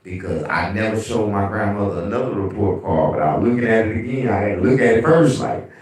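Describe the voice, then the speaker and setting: A man with a deep bass voice hosting a casual livestream, telling animated, profane stories. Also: a little fast, far from the mic. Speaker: livestream host. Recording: very echoey room, away from mic.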